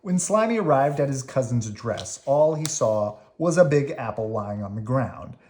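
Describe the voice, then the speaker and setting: Animated woman reading a children's story. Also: a bass voice, normal speed. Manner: expressive, dramatic. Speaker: storybook reader. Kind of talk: children's read-aloud narration.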